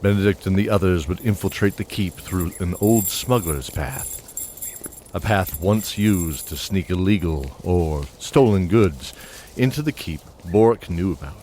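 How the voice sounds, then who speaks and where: A man narrating a fantasy audio drama in a measured, descriptive storytelling style, with faint music behind him. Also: low-pitched, a little mellow, a little hiss or hum.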